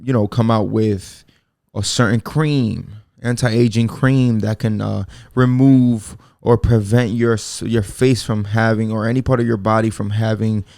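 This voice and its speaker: A man's voice: lowish voice, fairly even intonation, a little slow, creaky, darkish tone, dry recording, clean studio sound. A man in his twenties hosting a casual solo podcast, rambling in laid-back slang with lots of fillers and restarts. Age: 20s. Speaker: podcast host.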